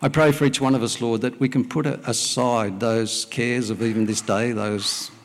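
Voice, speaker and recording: A middle-aged man from Wales, a native Welsh speaker, preaching a sermon and praying in a church service, speaking plainly with frequent "uh" pauses. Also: medium pitch, steady tone. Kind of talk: sermon with prayer. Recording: long hall reverb.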